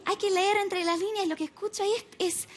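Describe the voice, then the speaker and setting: American girl doing standup, argentine-ish accent, super high voice, ironic deadpan, little bit of hiss behind. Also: a little fast. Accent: Argentine-tinged Spanish, American. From United States. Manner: deadpan, ironic asides with frequent tag questions. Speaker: stand-up comedian. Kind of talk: stand-up routine. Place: TV comedy club stage with live audience. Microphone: stand mic.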